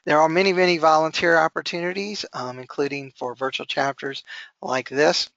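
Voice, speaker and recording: A man's voice: on the high side, fairly even intonation, moderate pace, a bit bright, dry recording. A man hosting a webinar, delivering announcements and introductions over an online call. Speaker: webinar host. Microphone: online web meeting microphone. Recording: dry, slightly dull online call audio.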